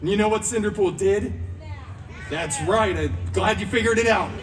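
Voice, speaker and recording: A man's voice: sits high, normal speed, crowd noise, lightly acted. A man playing a wisecracking, flamboyant antihero who narrates a parody fairy tale in a live stage show, with sarcastic asides. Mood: playful. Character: cheeky, theatrical, wisecracking male antihero storyteller. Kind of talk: comic children's story-time parody, stage narration. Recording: distant theme park show, music behind.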